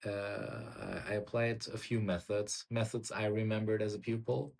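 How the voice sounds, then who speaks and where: A man's voice: lowish voice, little pitch movement, normal speed, gravelly, darkish tone, slight background noise. A young German man, a native German speaker and music teacher, talking casually in a vlog, hesitant with many fillers and restarts.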